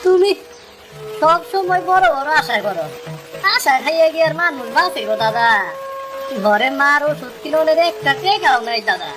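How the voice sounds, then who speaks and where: A woman's voice: high-pitched, animated, normal speed, music in the background. A woman voicing a poor, bitter young fisherman with an East Bengali accent, complaining in a plaintive way. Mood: weary, complaining.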